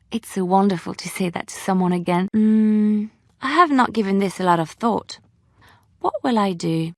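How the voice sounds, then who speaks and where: An actress playing a gentle, wistful young bard in a video game, speaking in a measured, tender way. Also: female voice, lowish voice, dry recording, clean studio sound. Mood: tender, affectionate, then hopeful, thoughtful. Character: gentle romantic young female bard adventurer, wistful but resilient. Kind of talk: video game character dialogue.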